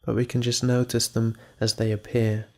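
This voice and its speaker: A man leading a guided meditation in a calm, measured manner, leaving pauses between phrases. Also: medium pitch.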